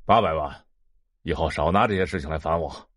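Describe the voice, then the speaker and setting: A man's voice: extremely low pitch, brisk, a bit bright, slight background noise. An actor playing an impatient, curt middle-aged businessman and father in an audio drama, dismissive in manner.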